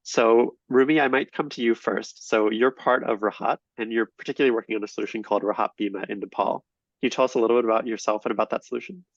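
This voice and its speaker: Guy moderating a video call panel, gravelly voice, lots of ums, dull dry audio. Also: lowish voice, steady tone, quick pace, no echo. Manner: hesitant, many ums.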